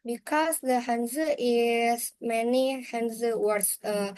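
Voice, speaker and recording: A young woman student, answering her teacher slowly and hesitantly in simple learner English on a video call. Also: a little high, fairly even intonation, a little mellow, no echo. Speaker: student presenter. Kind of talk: answering questions in class.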